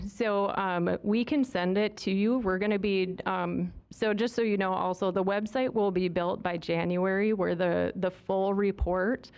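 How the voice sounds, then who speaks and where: A woman's voice: lowish voice, darkish tone, clean tone. A woman presenting a report to a city commission, friendly and full of fillers. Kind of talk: meeting presentation. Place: city meeting room.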